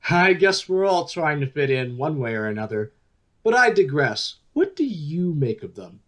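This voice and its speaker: A man's voice: fairly high pitch, very animated, moderate pace, a bit bright. A man playing an upbeat, chatty news talk show host in a radio play, with an emphatic delivery. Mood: wry, chatty.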